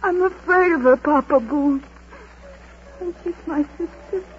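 A woman's voice: sits high, unhurried. An actress playing a timid, despairing young woman in a golden age radio drama, hesitant and pleading. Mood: frightened, subdued. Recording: muffled, noisy vintage radio broadcast.

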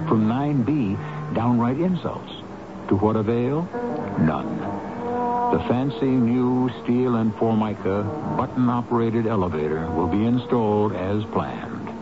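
A man narrating a radio mystery drama as host, in a measured, rhetorical and ominous manner. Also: fairly low pitch. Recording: dull old radio broadcast with music.

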